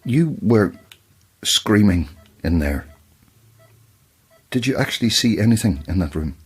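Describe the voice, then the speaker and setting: A man playing a protective, plain-spoken boyfriend in an audio drama, with a husky voice. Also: on the low side, expressive, measured pace. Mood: concerned. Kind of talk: dramatic audio fiction acting.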